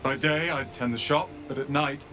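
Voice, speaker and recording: A young man playing an earnest, resolute wizard's apprentice with a wounded past in a dramatic video game, speaking in a measured, emotional way. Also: medium pitch, music in the background, lightly acted. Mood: bitter, wounded.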